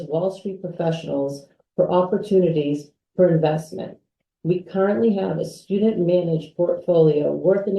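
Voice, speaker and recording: A woman's voice: lowish voice, mellow. A woman who is a school's associate head and dean, giving a polished, upbeat presentation. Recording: echoey room from far off.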